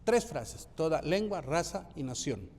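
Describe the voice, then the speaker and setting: A man's voice: on the high side, very animated, a little slow, darkish tone, long reverb tail, a little hiss or hum. A man from Colombia, preaching a sermon as pastor, emphatic and repeating key phrases for rhythm. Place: church sanctuary.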